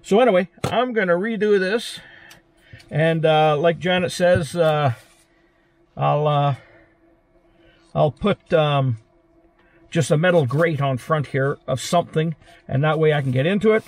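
A man's voice: a little high, dry recording. A Canadian man, likely in his sixties, explaining a repair in a cramped van with plenty of hesitant fillers and dry jokes. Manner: halting, many uh fillers, dry joking. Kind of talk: casual hands-on explaining. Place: small van interior, lying under a cabinet.

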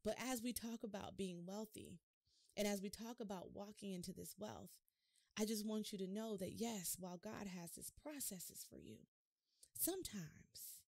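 Expressive woman host preaching, dry sound. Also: medium pitch, moderate pace, gravelly, dry recording. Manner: expressive, preacherly, rhetorical questions to listeners; animated hand gestures while talking. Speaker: podcast host. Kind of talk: devotional podcast preaching. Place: home office studio, indoors.

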